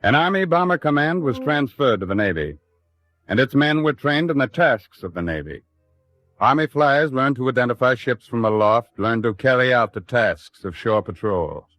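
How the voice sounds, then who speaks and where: A man's voice: mid-range voice, lively intonation, a bit bright, no echo, lightly acted. A man with an American accent narrating in a grave, oratorical 1940s radio drama style. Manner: grave, oratorical, measured phrasing.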